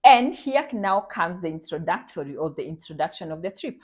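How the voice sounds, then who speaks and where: A woman's voice: lowish voice, sing-song, fairly quick, breathy edge. Earnest Kenyan woman psychologist. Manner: earnest, urging, repeats her points. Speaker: psychologist and center director. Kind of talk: promotional talk to viewers.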